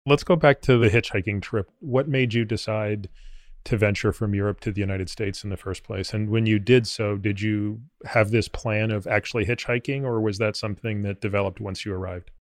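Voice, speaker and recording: A man with a fairly low voice hosts an interview podcast. His delivery is measured and articulate, and the sound is dry, with little room around it. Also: fairly even intonation, dry recording.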